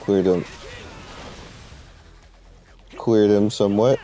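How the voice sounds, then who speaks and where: A man's voice: fairly low pitch, steady tone, normal speed, mellow, faint music behind. A man giving casual, informal gameplay commentary, rambling through his explanations in slangy language as he guides viewers. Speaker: gamer presenting a game guide.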